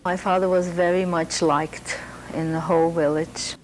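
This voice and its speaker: A woman's voice: on the low side, flat delivery, moderate pace, slight background noise, rough recording. An older woman from Slovakia, recalling early life in a plain, hesitant way. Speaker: interviewee. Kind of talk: personal oral-history reminiscence.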